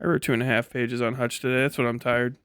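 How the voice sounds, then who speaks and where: A man's voice: medium pitch, little pitch movement, fast, fairly bright tone, smooth. A man in his late thirties hosting a casual livestream, rambling and swearing freely with a self-deprecating manner. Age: late 30s. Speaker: livestream host.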